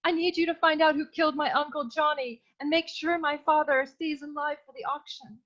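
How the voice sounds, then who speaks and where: Actress as melodramatic young noir damsel, fairly high voice, earnest comic radio delivery, slightly dull lo-fi sound. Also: female voice, steady tone, fairly quick, a bit bright. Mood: desperate. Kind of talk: humorous noir radio serial acting.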